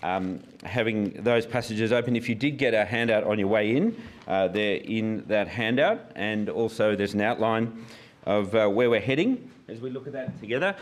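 A middle-aged man, a senior minister preaching a relaxed sermon in a slightly croaky voice. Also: fairly low pitch, normal speed. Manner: relaxed, self-deprecating, with fillers.

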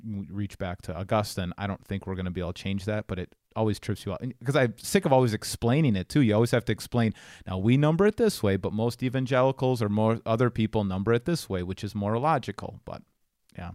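A man's voice: on the low side, fast talker, a little mellow, dry recording, clean studio sound. A man co-hosting a podcast, talking casually and explaining things as he goes. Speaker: podcast co-host.

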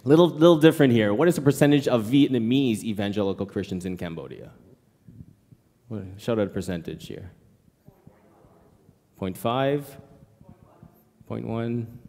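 A man missionary giving a church presentation, lively and joking with the audience. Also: mid-range voice, moderate pace, long pauses, long reverb tail. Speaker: missionary speaker.

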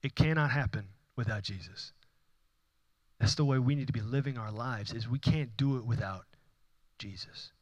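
A man's voice: mid-range voice, normal speed, no echo. A man from Houston preaching a sermon as pastor, building emphatic repeated phrases with rising intensity. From Houston, Texas. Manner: impassioned, repetitive preaching with rising emphatic runs. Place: church.

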